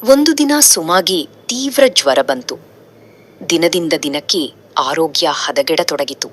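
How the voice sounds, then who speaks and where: Bright, animated, low-pitched woman narrator. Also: a little slow. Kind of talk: scripted moral story narration.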